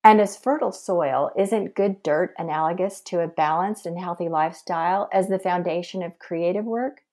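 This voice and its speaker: A woman host reading a scripted introduction with an earnest, measured delivery. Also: fairly low pitch, darkish tone. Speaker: podcast host.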